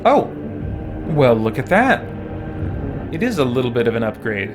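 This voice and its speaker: A man's voice: medium pitch, big pitch swings, moderate pace. A man doing casual game commentary as a streamer, rambling and thinking aloud. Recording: loud game music behind voice. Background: game music and effects.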